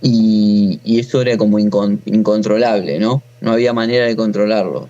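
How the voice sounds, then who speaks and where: Argentine man, halting, in dull lo-fi sound. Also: fairly low pitch, fairly even intonation, dark tone. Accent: Argentine, from Buenos Aires. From Buenos Aires, Argentina. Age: 40s. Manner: halting, often breaks off and rephrases. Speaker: interview guest, natural farmer. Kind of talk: informal personal storytelling.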